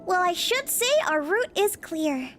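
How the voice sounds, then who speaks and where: Woman as young girl adventurer, very high voice, animated, proper, earnest, theatrical rhyming, fantasy game. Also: measured pace, soft background music, heavily acted. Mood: delighted. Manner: theatrical, rhyming storybook delivery. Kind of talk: fan dub of a fantasy video game.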